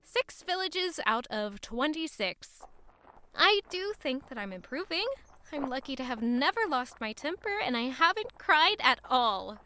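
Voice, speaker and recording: A woman acting a guarded, earnest young traveler with quiet defiance in an audio drama. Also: high-pitched, big pitch swings, unhurried, slight background noise, full character voice. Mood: reflective, calm. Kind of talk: audio drama acting with first-person narration.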